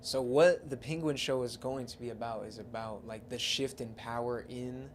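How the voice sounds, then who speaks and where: A man's voice: medium pitch, fairly even intonation, moderate pace, slight background noise. A man co-hosting a podcast, debating casually and arguing his case point by point. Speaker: podcast co-host. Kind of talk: casual debate about movies.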